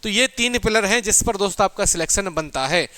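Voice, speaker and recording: High-pitched, bright man teaching. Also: lively intonation, fairly quick, smooth, no room sound. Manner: emphatic, colloquial, asks and answers own questions. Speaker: exam coaching teacher. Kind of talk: informal motivational lecture to students.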